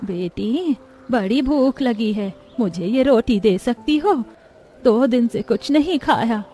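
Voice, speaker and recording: A woman's voice: fairly high pitch, animated, slow pace, warm tone, faint music behind. A woman voicing a hungry old beggar woman, imploring in a moral-story cartoon. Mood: pleading.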